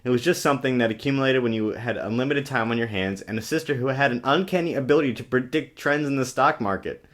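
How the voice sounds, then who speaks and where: A man's voice: medium pitch, fast, fairly bright tone. A man giving a parody dramatic reading of a novel with sarcastic, exaggerated asides, recorded on a webcam. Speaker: comic reader of a novel. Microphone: webcam microphone. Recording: choppy cheap webcam recording.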